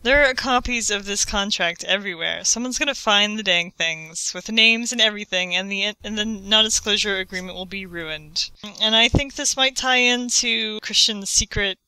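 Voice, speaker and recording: Woman, podcast co-host, very bright, crisp, dry close sound. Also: medium pitch, no echo, low-quality recording. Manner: chatty, quick to joke. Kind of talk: casual podcast chat.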